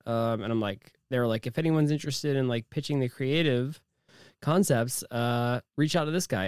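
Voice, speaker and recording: A man with a naturally deep voice, co-hosting a casual podcast chat, jokey and crude with lots of halting fillers. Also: a little mellow, clear, resonant tone, no echo, clean studio sound. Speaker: podcast co-host.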